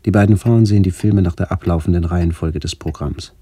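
An actor playing a reflective middle-aged man narrating a literary radio play in a measured, contemplative way. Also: sits low, warm tone, husky. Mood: pensive. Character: reflective man visiting his ageing family.

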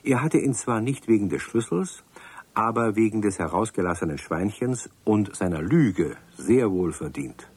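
A man narrating a children's radio play in a calm, measured way. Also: fairly low pitch, breathy edge, no echo. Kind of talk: scripted storybook narration.

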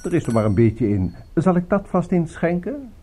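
A man's voice: fairly high pitch, very animated, dark tone, slight background noise. An actor playing a genteel, courteous but evasive male host in a radio drama, speaking politely and urbanely. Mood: affable. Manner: polite, urbane, unhurried small talk.

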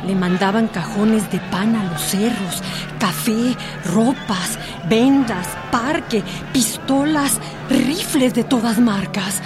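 A woman's voice: fairly high pitch, slow. An actress playing a plain-spoken Mexican townswoman who gives reflective testimony of the revolution in a radio drama. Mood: reminiscing. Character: townswoman witness fondly recalling the revolution. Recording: loud music bed under voice.

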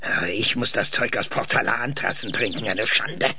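An actor playing an eccentric, suspicious older scientist in a radio drama, grumbling and hesitant yet insistent, like a lecturing professor. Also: male voice, fairly low pitch, moderate pace, bright, forceful tone, no room sound, studio quality, exaggerated voice. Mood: grumbling, wry. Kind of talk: naturalistic German radio drama dialogue. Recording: clearly noisy, birdsong behind.

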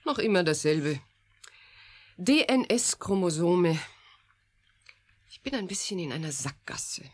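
Radio drama role: composed female scientist, sceptical, low yet expressive. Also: slow pace, exaggerated voice. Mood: matter-of-fact. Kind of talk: German radio drama acting.

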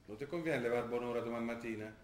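A man's voice: mid-range voice, fast talker, a little hiss or hum, natural voice. Actor as grumbling Trentino father in a roomy space. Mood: grumbling concern. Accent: Trentino, northern Italian. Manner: hesitant, grumbling, with short muttered replies. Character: plain-spoken, worried provincial father of a student daughter. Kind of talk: naturalistic dialect stage acting.

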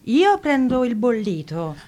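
A high-pitched woman played by an actress hosts a dinner in a radio play. She is friendly and bustling, yet takes her words slowly, in a natural, everyday style. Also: big pitch swings, darkish tone. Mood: bustling, familiar.

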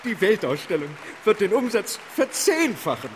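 Excitable, high-voiced market optimist. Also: male voice, normal speed, a crowd in the background, noisy background. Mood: gushing. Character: excitable, gushing male stock-market optimist. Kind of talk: radio play dialogue. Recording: reverberant room with music behind.

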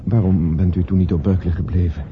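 An actor playing an earnest, uneasy gentleman in a radio play, with formal manners. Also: deep voice, fairly even intonation, quick pace, natural voice. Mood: tender, flustered. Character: earnest, guilt-ridden gentleman in love, uneasy traveller. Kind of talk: Dutch radio play acting. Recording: dull, noisy old radio play recording.

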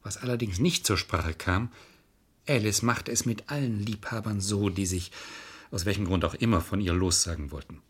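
Radio crime drama: cynical male defence lawyer, low husky voice, sardonic and argumentative. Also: normal speed. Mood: sardonic, insistent. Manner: sardonic, argumentative, matter-of-fact. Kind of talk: German radio crime play acting.

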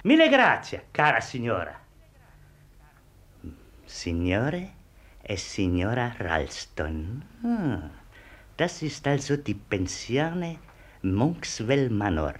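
An actor playing an effusive, theatrical Italian gentleman with an Italian accent in a 1950s German radio play. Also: medium pitch, wildly swooping pitch, takes it slowly, pauses often, heavily acted.

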